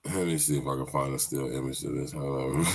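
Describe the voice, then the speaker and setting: A man's voice: rumbling low, very animated, measured pace, a little mellow, husky. A young man hosting a podcast, reacting to clips with incredulous, slangy asides and laughter. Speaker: podcast host. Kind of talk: reaction commentary.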